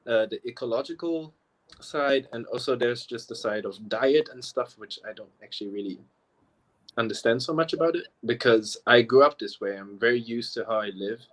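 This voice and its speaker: A young man from Taiwan speaks as a guest on a live video call, loose and conversational and full of casual fillers. His voice sits at an ordinary middle pitch. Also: moderate pace. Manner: easygoing and chatty, leaning on "like" fillers. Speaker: guest, professional dancer. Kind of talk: casual interview chat. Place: remote live chat.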